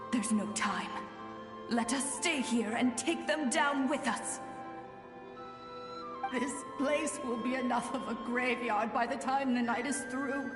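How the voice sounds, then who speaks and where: A woman voicing the ghost of a doomed soldier, desperate yet resolute, in a dramatic video game. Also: a little high. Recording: long ghostly reverb, music underneath.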